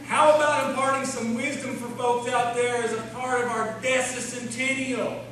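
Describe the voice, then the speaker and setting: Folksy small-town radio emcee, played by a man, high-pitched, comic stage show, very echoey and noisy. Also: flat delivery, moderate pace, fairly bright tone. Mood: announcing. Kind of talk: live comic radio-play acting. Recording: echoey hall from far off, noisy.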